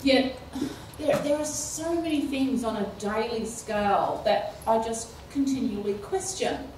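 A middle-aged woman with a slightly high voice, speaking slowly and haltingly on a panel, full of ums and restarts. She holds a handheld mic in a lecture hall, picked up from a distance with heavy room echo and faint background noise. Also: a little mellow. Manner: halting, many fillers and restarts, rising into laughing exclamations. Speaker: keynote speaker. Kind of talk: panel discussion.

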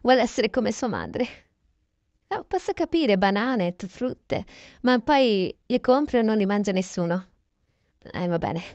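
A woman's voice: mid-range voice, animated, a little slow, darkish tone, no room sound, highs cut off. A woman narrating a casual improvised roleplay of her day, chatty and correcting herself as she goes. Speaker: narrator voicing a toy-game roleplay video.

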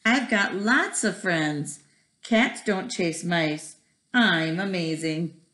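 A woman's voice: fairly low pitch, slow pace, a bit bright. A woman voicing a young male kitten in a storybook, with a whiny, questioning way of talking. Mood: proud. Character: young male kitten, anxious then curious. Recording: roomy echo.

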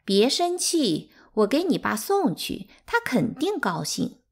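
A woman's voice: a little high, wildly swooping pitch, slow, vocal fry, husky, voice pushed up, full character voice. A woman voicing a cold, mocking teenage maid girl in a storybook reading, brazen and taunting. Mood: smug taunting.

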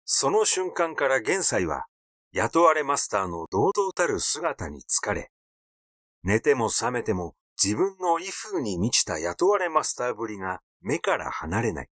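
Male narrator, scripted literary reading, animated pitch movement, measured storytelling, dry close sound. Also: no echo. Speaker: audiobook narrator.

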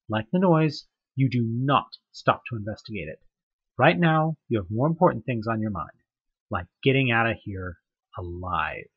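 A man's voice: mid-range voice, slightly rough, dull audio. Man narrating horror in second person. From United States. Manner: tense, dramatic storytelling with rising questions. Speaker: narrator of a fan audioplay.

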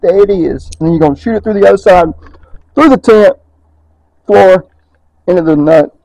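A man's voice: high-pitched, moderate pace, vocal fry, warm tone, no room sound, low-quality recording. A man chatting casually and joking wryly while helping with a tent install. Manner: joking, wry. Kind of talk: casual chat.

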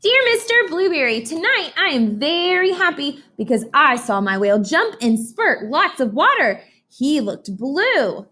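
A woman voicing an earnest, excited little girl in a storybook, reading letters slowly in a sing-song way. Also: sits high, pitched above the performer's own voice, exaggerated voice. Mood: eager, earnest.

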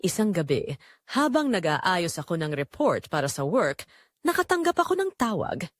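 A woman's voice: lowish voice, very animated, moderate pace, no room sound, clean studio sound. A young woman with a Filipino accent narrating a scripted romantic drama in the first person, earnest and reflective.

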